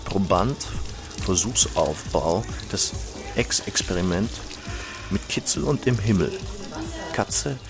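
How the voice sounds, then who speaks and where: Low detached man, drawn-out single words, radio play recitation, music behind. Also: lively intonation, vocal fry, heavily acted.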